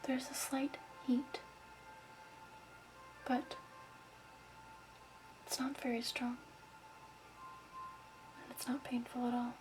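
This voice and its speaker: A woman doing an ASMR shopkeeper roleplay in a soft, breathy, whispered voice, gently instructing. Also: a little high, little pitch movement, slow, pauses often, music in the background, low-quality recording. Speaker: ASMR performer playing a crystal shop keeper.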